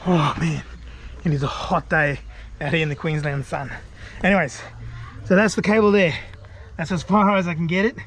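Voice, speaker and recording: A man's voice: on the high side, steady tone, moderate pace, pauses often, fairly bright tone. An Australian man talking casually and chattily, presenting a do-it-yourself how-to vlog. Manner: informal, chatty, mate-to-mate. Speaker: DIY video host. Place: outdoors beside a vehicle. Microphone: action camera. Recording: clear background noise, outdoor camera audio.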